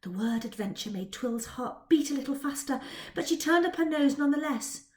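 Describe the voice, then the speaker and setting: Female storyteller, author reading to children, slightly high, highly expressive, echoey room. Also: a little fast. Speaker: author reading her own book.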